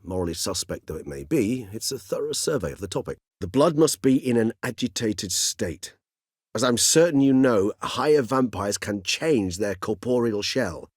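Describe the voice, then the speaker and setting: A courtly old-world vampire scholar for a video game, low voice, wordy and measured, kinda weary, dry studio sound. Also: male voice, lively intonation, breathy edge, dry recording. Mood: scholarly, reflective. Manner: formal, eloquent, measured with thoughtful pauses. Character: courtly, erudite, world-weary male higher vampire, reflective and gentle. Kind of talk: video game character dialogue.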